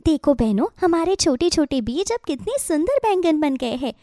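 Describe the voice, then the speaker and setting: A woman voicing an eager, determined little female bird in an earnest, expressive way for a children's cartoon story. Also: sits high, moderate pace, no room sound, clean studio sound. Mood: eager, happy.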